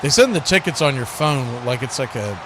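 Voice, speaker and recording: A man's voice: mid-range voice, moderate pace. A man hosting a live football watch party, switching between play-by-play and casual chat. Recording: noisy feed with steady background noise.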